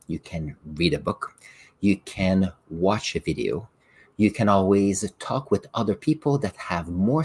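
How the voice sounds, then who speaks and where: Low, husky-voiced man hosting a podcast. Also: a little mellow. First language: French. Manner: reflective, explains with examples, occasional restarts. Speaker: podcast host and science teacher. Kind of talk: solo podcast talk.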